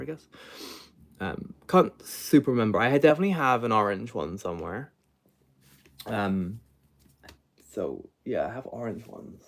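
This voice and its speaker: Male book livestreamer, gravelly and animated in intonation, unscripted, rambling, halting delivery. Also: lowish voice. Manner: rambling, halting, self-correcting, trailing off. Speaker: book vlogger hosting a livestream. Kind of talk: casual unscripted livestream chat.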